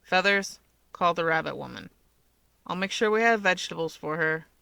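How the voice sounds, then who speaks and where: A woman voicing a gruff, bossy eldest brother who gives curt, dismissive orders while looking after everyone. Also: fairly low pitch, moderate pace, pushed and bright, no echo. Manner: curt, commanding.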